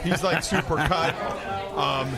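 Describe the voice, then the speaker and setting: Male bartender-host type, high voice, animated and jokey, swears freely, swapping stories amid crowd noise. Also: slow pace, vocal fry, over loud music. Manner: animated, jokey, profane, often laughing. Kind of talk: casual bar banter and storytelling. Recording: noisy bar crowd behind.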